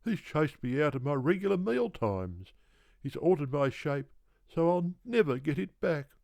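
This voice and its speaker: A man's voice: fairly high pitch, very animated, normal speed, gravelly, a little mellow, dry recording, pitched above the performer's own voice. A man voicing a tired, aggrieved old male kangaroo in a storybook, complaining in formally polite words. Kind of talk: storybook character voice.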